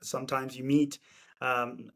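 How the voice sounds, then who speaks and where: A man's voice: mid-range voice, level tone, a little slow, fairly bright tone. A man hosting a podcast interview, conversational and friendly as he asks his guest questions. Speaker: podcast host.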